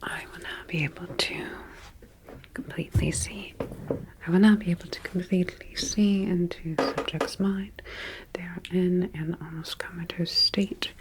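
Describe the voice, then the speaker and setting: Woman doing creepy calm lady sleep scientist, asmr horror roleplay, whispery and lowish, clinical and detached. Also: lightly acted, poor audio. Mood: clinical, quietly sinister. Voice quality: breathy whisper. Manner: slow, clinical, detached, measured. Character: calm sinister female sleep clinic scientist experimenting on patient.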